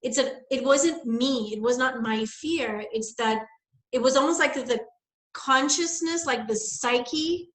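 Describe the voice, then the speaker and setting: A young woman from the Bahamas talks through her experiences in a loose, thinking-aloud way. Her voice sits a little high, and the recording rings with the echo of the room around her. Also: unhurried, fairly bright tone. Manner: reflective, rambling, many fillers. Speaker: guest. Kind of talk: casual conversation, personal story.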